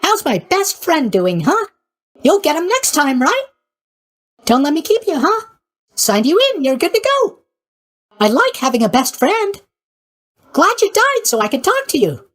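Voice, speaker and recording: Casting: a boyish, naive god of sleep in a video game, extremely high-pitched and wildly swooping, bubbly and scatterbrained. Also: male voice, wildly swooping pitch, a little slow, pauses often, a bit bright, exaggerated voice. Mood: chatty, chipper. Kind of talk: animated video game voice acting.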